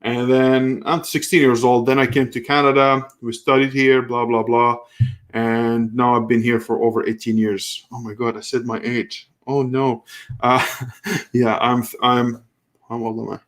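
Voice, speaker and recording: A man's voice: medium pitch, level tone, measured pace. A man in his thirties, originally from Kuwait, hosting a live question-and-answer stream and talking loosely, with frequent restarts as he thinks aloud. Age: 30s. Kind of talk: casual live stream Q&A, reading viewer comments.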